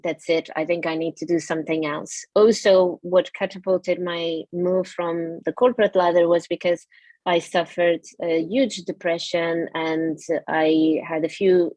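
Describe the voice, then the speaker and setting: A woman's voice: lowish voice, a little mellow, dry recording. A woman from Portugal with a Portuguese accent, a yoga studio owner telling her story in an earnest, reflective way with many fillers. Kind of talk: interview.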